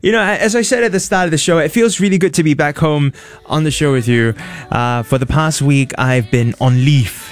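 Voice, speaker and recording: Male radio host with a Singaporean accent and a slightly high voice, casual, storytelling, studio mic. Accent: Singaporean English. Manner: chatty, storytelling, with quick asides.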